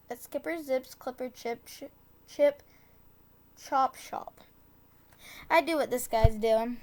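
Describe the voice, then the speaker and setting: Woman reading slowly in a high voice. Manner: deliberate, trips over and corrects tongue twisters. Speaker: storybook reader. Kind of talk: reading a children's tongue-twister book aloud with casual asides.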